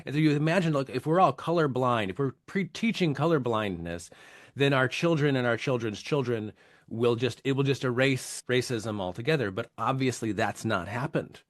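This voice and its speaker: A man hosting a podcast in casual discussion, reflective, speeding up on quick asides. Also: mid-range voice, dry recording, studio quality.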